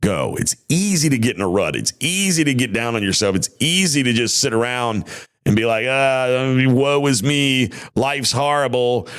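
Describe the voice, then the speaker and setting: Podcast host, man, mid-pitched, worn voice, rambling and folksy. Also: expressive, normal speed, fairly bright tone. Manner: rambling, folksy, with many restarts. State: tired, worn voice. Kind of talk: casual chat. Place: home studio.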